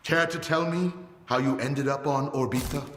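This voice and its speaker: An actor playing a taunting, zealous cult leader in a video game cutscene, preaching and mocking in a theatrical way. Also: male voice, medium pitch, steady tone, moderate pace, fairly bright tone, slight background noise, natural voice. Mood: smug, coaxing. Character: zealous, taunting male cult leader of a post-apocalyptic zone. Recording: echoey hall, music behind.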